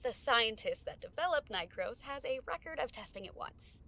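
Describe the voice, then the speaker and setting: A woman acting in an audio drama as a poised tech research lead, speaking formally and in a measured, clinical way. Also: fairly high pitch, expressive, a bit bright, slight background noise. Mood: clinical, guarded.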